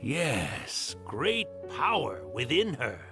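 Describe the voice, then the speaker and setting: A theatrical, playfully villainous old yokai spirit from a video game. The voice sits high and leaps dramatically, drawing words out slowly over faint music. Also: male voice, huge pitch swings, heavily acted. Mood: grandiose menace. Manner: theatrical, laughing, swooping.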